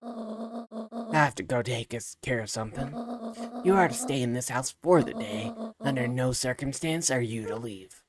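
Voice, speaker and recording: A man's voice: medium pitch, expressive, normal speed, over music, close to an everyday voice. A man voicing a stern, guarded old grandmother in a storybook game, with firm, clipped commands. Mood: stern, commanding.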